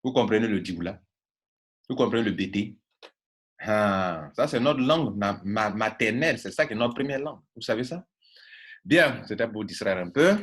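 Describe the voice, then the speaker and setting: A man's voice: lowish voice, expressive, normal speed, a bit bright. A man from Ivory Coast with an Ivorian accent and Baoulé as his first language, giving an informal online training talk in an emphatic, repetitive way full of rhetorical questions. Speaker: network marketing coach.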